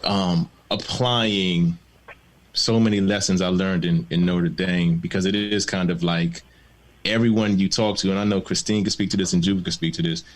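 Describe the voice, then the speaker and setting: Low-voiced man telling stories reflectively. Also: steady tone, moderate pace, low-quality recording. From born in the Bahamas, raised in Maryland. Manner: reflective, storytelling, laughs easily. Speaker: guest, film and TV showrunner. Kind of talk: candid panel conversation.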